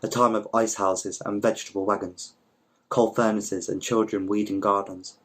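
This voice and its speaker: A man with a fairly low voice narrates a short story. His delivery is measured and literary, like an amateur reading aloud from the page with the odd small stumble. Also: little pitch movement, a bit bright. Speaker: volunteer story reader.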